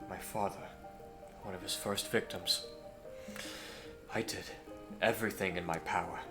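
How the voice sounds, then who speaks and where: A man playing a courteous, earnest young Victorian gentleman hero in a scripted audio roleplay, with formal, hesitant phrasing. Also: low-pitched. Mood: grave, sorrowful.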